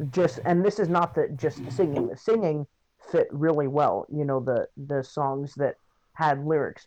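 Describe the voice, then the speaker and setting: A dry, slightly lo-fi recording of a young man co-hosting a podcast. His voice sits a little high, and he talks casually in a halting way, searching for his words. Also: dark tone, no echo. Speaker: podcast co-host. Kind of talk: casual movie review chat. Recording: dry, slightly dull lo-fi mic.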